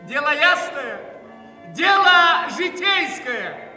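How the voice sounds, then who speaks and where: An actor playing an anguished poor man, declaiming a theatrical monologue with great passion, swinging from hushed words to cries. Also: extremely high pitch, very animated, slow pace, heavily acted. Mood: anguished, impassioned. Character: anguished poor man bewailing a starving beggar child. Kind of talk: theatrical dramatic monologue in an audio play. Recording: echoey hall, distant mic, music behind.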